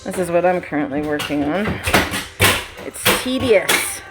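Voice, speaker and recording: Low-voiced woman, noisy background. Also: animated, a little slow. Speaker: vlogger documenting a bus renovation. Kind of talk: casual vlog narration while working. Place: inside a bus being converted. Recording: noisy on-site recording with radio playing. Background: radio and work noise.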